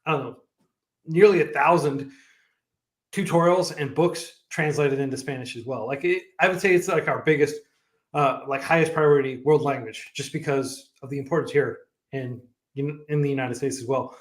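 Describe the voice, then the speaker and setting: A man's voice: a little high, normal speed. A man talking casually as a guest on a livestream, chatty and full of fillers and restarts. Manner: chatty, many fillers and restarts, laughs often. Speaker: founder of a coding nonprofit, guest. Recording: echoey room.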